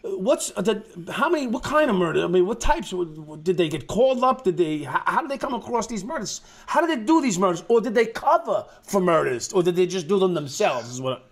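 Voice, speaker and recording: A man's voice: high voice, sing-song, brisk, hardly any pauses. A man co-hosting a casual interview, joking, rambling and laughing often. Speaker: co-host, ex-detective. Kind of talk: casual chat.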